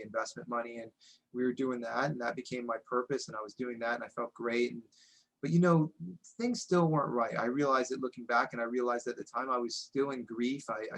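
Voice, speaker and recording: A man's voice: medium pitch, fairly bright tone, poor audio. A man in his forties, a guest coach, talking earnestly and reflectively about his own life in a podcast interview. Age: 40s. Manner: earnest, reflective, frequent "you know" and restarts. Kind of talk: candid personal interview talk.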